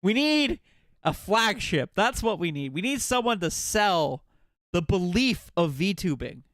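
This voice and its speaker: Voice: mid-range voice, very animated, measured pace, a bit bright, dry recording, studio quality. A game streamer chatting casually and jokingly with viewers, playful and given to swearing. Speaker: game livestreamer.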